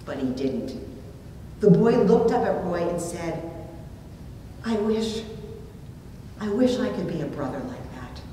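A woman's mellow voice carries through a very reverberant church room, picked up from far off. She preaches in measured, deliberate phrases, telling stories expressively from a pulpit. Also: big pitch swings. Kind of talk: sermon with storytelling, read from notes. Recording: distant mic, very echoey room, rumbly noise.

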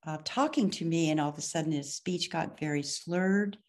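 A woman in her sixties, a patient's wife and caregiver, speaking on a video call from home in a halting way with many fillers. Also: low voice, darkish tone. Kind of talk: video call panel discussion. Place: home room, indoors.

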